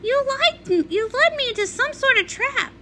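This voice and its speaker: A vain cartoon nymph, acted out by a woman, speaks high and bright. The delivery is theatrical and grandiose, swooping through every line with scheming self-importance. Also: expressive, unhurried, slight background noise, heavily acted. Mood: upset, defiant. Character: vain scheming pony-world nymph, grandiose and easily upset. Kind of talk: comic read-along character voice.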